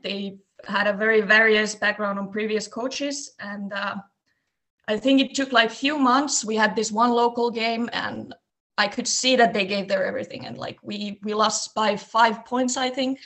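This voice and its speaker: A woman's voice: a little high, normal speed. Finnish-accented young woman guest. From Eastern Finland. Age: 20s. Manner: relaxed storytelling with many fillers. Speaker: guest, basketball coach. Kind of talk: casual podcast interview.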